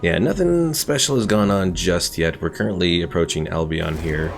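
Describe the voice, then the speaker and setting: A man's voice: sits low, moderate pace, creaky, slight background noise. A man casually chatting with viewers while streaming a strategy game, laid-back and rambling. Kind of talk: casual live stream commentary.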